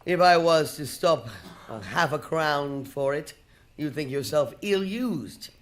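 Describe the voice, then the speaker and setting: A bitter old miser of a boss, speaking in a deep female register with big swings in pitch. The delivery is curt, biting and scornful, in the manner of live radio drama. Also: lively intonation, slow. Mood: grudging, irritable.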